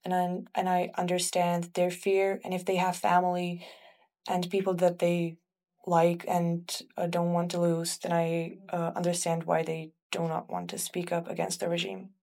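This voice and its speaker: A woman's voice: fairly low pitch, monotone, moderate pace, dark tone. A young woman from the Czech Republic with a Czech accent, sharing her views in an informal student discussion. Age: young adult. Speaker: student panelist.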